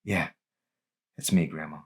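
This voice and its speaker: Guy reading as a sad troubled grandson, low voice, gentle n hesitant, audiobook dialogue. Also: fairly even intonation, unhurried, slightly rough, heavily acted. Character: troubled, grieving young grandson, gentle and uncertain. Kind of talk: audiobook character voice.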